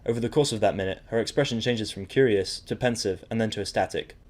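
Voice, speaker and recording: Low-voiced man narrating a story. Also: fast, a little mellow. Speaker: narrator and voice actor of an audio drama. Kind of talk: scripted first-person story narration.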